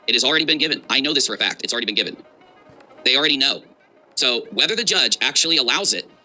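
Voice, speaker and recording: A male livestream host delivers mocking reaction commentary at a quick clip. His voice is very bright and forceful, and he leans hard on sarcasm and emphasis. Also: mid-range voice, rough recording.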